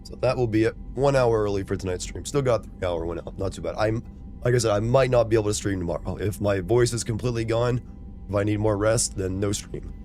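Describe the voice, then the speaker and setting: A man streaming a video game, chatting casually to his viewers with a hoarse voice. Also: fairly low pitch, fairly quick, soft background music. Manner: relaxed, rambling.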